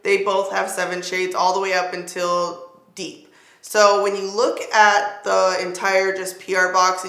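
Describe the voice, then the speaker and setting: A young woman with a raspy voice, chatting excitedly as she reviews a makeup product. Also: mid-range voice, reverberant. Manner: chatty, excited, filler-heavy. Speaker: beauty vlogger.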